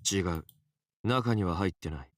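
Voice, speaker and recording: A man's voice: sits low, fairly quick, no room sound, clean studio sound, natural voice. An actor playing a blunt, distrustful younger man in a Japanese visual novel, cool and accusatory. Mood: cool, terse.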